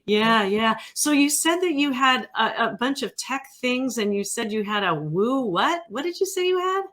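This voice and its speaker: A woman's voice: fairly high pitch, lively intonation, normal speed, a bit bright. A woman hosting a casual interview in a chatty, informal way. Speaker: interview host.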